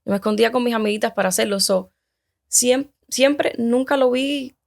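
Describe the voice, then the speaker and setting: Cuban woman in her 30s telling her story, gravelly voice, halting, lots of restarts. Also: a little high. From Cuba. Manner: hesitant, halting, with many restarts. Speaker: guest. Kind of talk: personal testimony in casual conversation.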